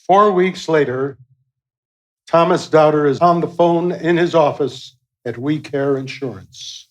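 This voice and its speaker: A man's voice: fairly high pitch, unhurried, faint music behind, low-quality recording. A man giving scripted narration as the narrator of a radio play.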